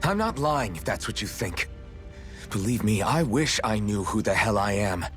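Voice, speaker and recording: A man's voice: fairly low pitch, very animated, moderate pace, fairly bright tone. An actor playing an earnest young swordsman in a video game, guarded, questioning and understated. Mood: subdued, sincere. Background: background music.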